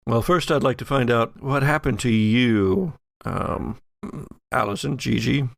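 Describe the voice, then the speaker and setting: Man playing a gravelly, reserved FBI agent. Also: medium pitch, measured pace, no echo, studio quality. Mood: grave, concerned. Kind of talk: improvised audio drama role-play.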